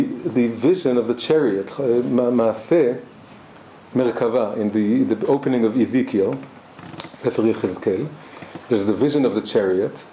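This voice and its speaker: Guy teaching a thoughtful class, super mellow low-toned voice, echoey room. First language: Hebrew. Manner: halting, thoughtful, many restarts. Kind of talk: reflective spoken class. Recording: roomy echo, faint background noise.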